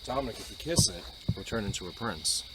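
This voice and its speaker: A man hosting a paranormal investigation, musing about ghosts and calling out loudly to spirits on a wooded road at night. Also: animated, brisk. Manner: calls out loudly to spirits, otherwise low and musing. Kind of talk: casual narration while ghost hunting. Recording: night outdoor noise, crickets.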